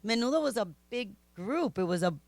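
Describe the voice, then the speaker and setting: A woman's voice: fairly high pitch, brisk, no room sound. A middle-aged woman from Miami with a Spanish-influenced Miami accent, a native Spanish speaker, telling her story candidly as a podcast guest with lots of ums and laughs. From Miami, Florida. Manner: animated, chatty, frequent ums and laughs.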